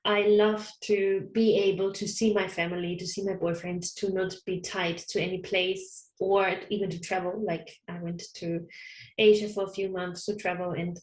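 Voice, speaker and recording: Soft-spoken German-native woman, roomy sound. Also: on the low side, flat delivery, normal speed. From Austria. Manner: soft-spoken, hesitant, laughs often. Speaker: online counsellor, guest. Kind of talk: casual interview conversation. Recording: roomy echo.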